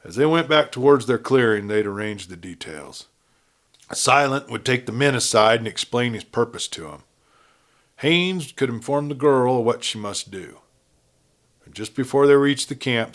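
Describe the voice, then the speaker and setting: A man's voice: mid-range voice, expressive, moderate pace, a bit bright. A man narrating a Western novel as an audiobook storyteller, reading from a script.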